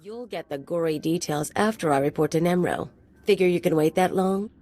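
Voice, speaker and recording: An actress playing a swaggering, flirtatious female enforcer in a video game, husky, sultry and taunting, with playful menace. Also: fairly low pitch, fairly quick, darkish tone, lightly acted. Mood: smug. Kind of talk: video game character acting.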